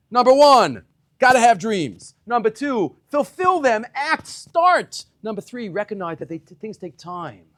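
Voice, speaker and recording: A middle-aged man, a rabbi giving an animated yeshiva talk, acting out dialogue and mixing Hebrew terms into English. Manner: animated, acts out dialogue with big pitch swings. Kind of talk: animated Torah lecture to students. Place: yeshiva classroom.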